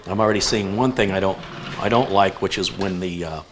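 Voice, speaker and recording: A man hosting a live stream, chatting casually and thinking aloud with relaxed fillers. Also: sits low, brisk, background noise. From United States. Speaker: show host.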